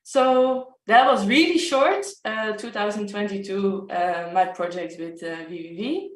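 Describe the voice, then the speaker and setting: A woman's voice: medium pitch, unhurried, far from the mic. Hesitant woman on an echoey video call. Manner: hesitant, many fillers. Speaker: artist presenting her project. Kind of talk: casual presentation on a video call.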